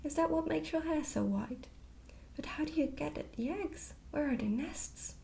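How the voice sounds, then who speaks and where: A woman voicing an inquisitive little princess, high-pitched. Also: normal speed, vocal fry, mellow. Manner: inquisitive, eager questioning. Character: curious little princess, a bright, direct child. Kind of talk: soft-spoken ASMR storybook reading.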